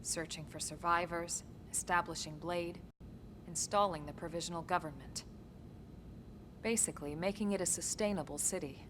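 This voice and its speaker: A woman playing a serious, composed military officer in a video game, explaining things in a measured, matter-of-fact way. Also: lowish voice, fairly even intonation, noisy background, natural voice. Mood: grave, measured. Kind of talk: English video game cutscene acting.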